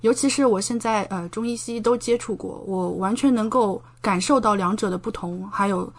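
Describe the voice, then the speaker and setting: A woman's voice: mid-range voice, brisk. A woman guest on a podcast, talking casually and explaining things in a talkative way with rhetorical questions and quoted exchanges. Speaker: podcast guest, medical doctoral student and science writer.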